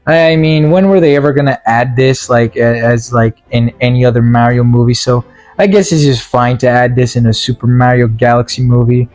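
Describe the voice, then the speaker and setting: A man giving a casual, rambling film review in slangy, informal language, halting and repeating words, with sarcastic asides. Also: mid-range voice, a little mellow. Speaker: movie reviewer.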